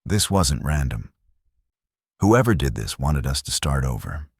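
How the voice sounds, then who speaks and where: A man voicing a grim, steady cowboy homesteader in a western audiobook, terse and deliberate. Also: very deep voice, breathy edge, no echo, clean studio sound, exaggerated voice. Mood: grim, resolute.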